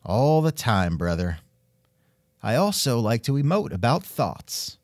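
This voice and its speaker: A man's voice: mid-range voice, very animated, measured pace, a little mellow, no echo, clean studio sound. A man hosting a scripted comedic interview, with a wry, joking manner. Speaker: podcast host and interviewer.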